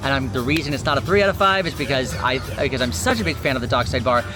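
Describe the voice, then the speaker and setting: A man's voice: medium pitch, animated, fast talker, music in the background. A man hosting an unscripted review, chatting casually in a hesitant, meandering way outdoors. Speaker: vlog host. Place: outdoors at a shopping and dining complex.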